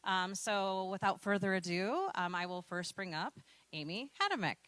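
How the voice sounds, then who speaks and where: A woman's voice: mid-range voice, animated, normal speed, fairly bright tone, clear, resonant tone. A woman introducing speakers at a graduation ceremony, encouraging and full of "um" fillers. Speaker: program staff emcee.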